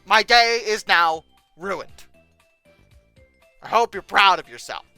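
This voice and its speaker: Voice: mid-range voice, slow, pushed and bright, clean tone, dry recording, faint music behind. A sarcastic, exasperated host doing comedic reaction commentary, given to mock outrage. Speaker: host of a paleontology commentary show. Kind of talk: comedic reaction commentary, reading aloud and critiquing.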